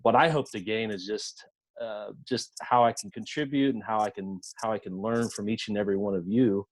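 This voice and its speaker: Earnest man with a dry, low voice. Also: steady tone, a little fast, dry recording, low-quality recording. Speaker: podcaster, panelist. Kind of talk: online forum introduction. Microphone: video call.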